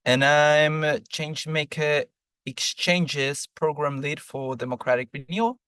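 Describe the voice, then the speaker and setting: A man from Argentina, a program lead, answering interview questions in a measured way with some ums. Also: a little high, steady tone, a bit bright, clean tone, dry recording, clean studio sound.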